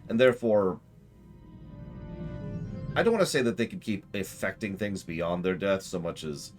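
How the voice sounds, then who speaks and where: A man's voice: low-pitched, expressive, normal speed. A man doing casual live stream commentary on a game, explaining his ideas at length and thinking aloud. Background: game music.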